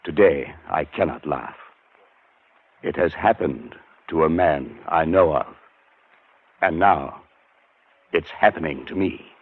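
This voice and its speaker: A learned man of science in a vintage radio play. The voice sits very low and is rough-edged and husky. The old recording is dull and lo-fi. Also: takes it slowly, long pauses, exaggerated voice. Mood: grave, haunted. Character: British scientist, learned, sceptical man now haunted. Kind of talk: 1940s radio drama acting.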